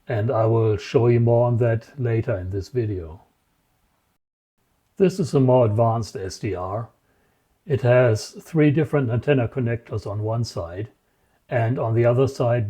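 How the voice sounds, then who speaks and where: A man narrating a scripted equipment demonstration in a measured, matter-of-fact, explanatory way. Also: mid-range voice, warm tone, slightly rough. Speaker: presenter, radio scanning enthusiast.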